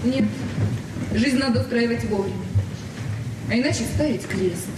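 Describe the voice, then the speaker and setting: Actress as chatty teenage girl, high-pitched, eager and halting, frank, radio drama, distant noisy old tape. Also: very echoey, lightly acted. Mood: frank, dismissive. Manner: eager, halting, repeats words. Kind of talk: Soviet stage-trained radio play acting.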